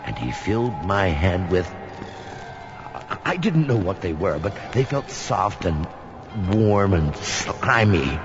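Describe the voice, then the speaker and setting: Sinister old male storyteller, low and slow, swinging dramatically, spooky Halloween style, music behind, muffled sound. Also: very animated, heavily acted. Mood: frightened, suspenseful. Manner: slow, dramatic, whispers and outbursts. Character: sinister old storyteller welcoming listeners into his home. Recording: dull lo-fi recording.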